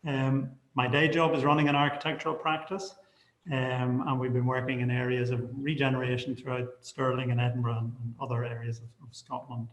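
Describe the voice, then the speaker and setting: A man's voice: medium pitch, level tone, fairly quick, a bit bright. A middle-aged man with a Northern Irish accent, an architect, introducing himself in a friendly, plain-spoken way on a webinar over a video call. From Northern Ireland. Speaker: architect and board member. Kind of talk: online webinar talk. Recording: roomy echoey video call audio.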